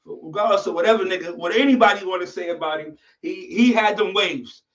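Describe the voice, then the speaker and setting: Host, male, late thirties, rambling street-casual delivery, slightly high, dull sound, a bit off-mic. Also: fairly quick, fairly bright tone, far from the mic. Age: 30s. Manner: loose, rambling, lots of you know what I'm saying. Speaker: podcast host. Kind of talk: casual conversation.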